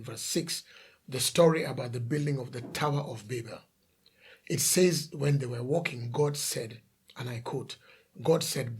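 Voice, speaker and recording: A man's voice: a little high, slightly rough. A man from The Gambia preaching a sermon as a pastor, emphatic, asking rhetorical questions and repeating lists of phrases.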